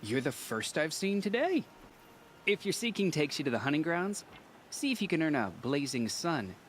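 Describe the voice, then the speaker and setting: A boastful rival hunter, with a fairly high male voice and lively swings in pitch. He sounds provocative and teasing, in video game style. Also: moderate pace, slight background noise. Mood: cocky, teasing.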